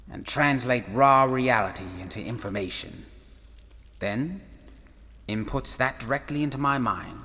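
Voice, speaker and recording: Man playing curious professor, bright, theatrically swooping voice. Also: medium pitch. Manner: measured, theatrical, musing delivery. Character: eccentric, curious male professor and space traveller. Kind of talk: sci-fi audio drama acting. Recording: long reverb, faint background noise.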